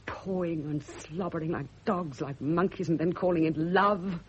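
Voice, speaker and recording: A woman's voice: fairly low pitch, steady tone, normal speed, a little hiss or hum, natural voice. An actress playing a bitter, jealous woman, cold and scornful, in a radio drama. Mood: venomous fury. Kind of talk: 1970 radio drama acting. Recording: dull, band-limited old radio recording.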